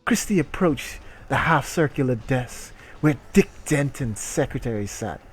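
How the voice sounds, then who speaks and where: A man's voice: medium pitch, animated, a little slow, a little hiss or hum. A man reading a story aloud as a streamer and breaking off for irreverent, sweary commentary. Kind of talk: dramatic reading with mocking live commentary.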